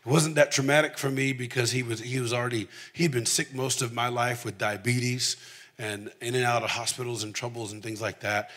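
A male preacher from the southern United States with a bright, forceful voice in a middle register. He speaks conversationally and candidly, building personal testimony with repeated, emphatic phrases. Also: medium pitch, steady tone, a little fast. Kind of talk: conversational sermon with personal storytelling.

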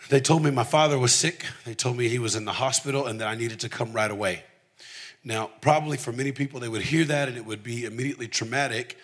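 A man's voice: medium pitch, quick pace. A man from the southern United States preaching in a conversational way, telling personal stories candidly and repeating phrases for emphasis. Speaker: preacher giving testimony. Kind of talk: conversational sermon with personal storytelling.

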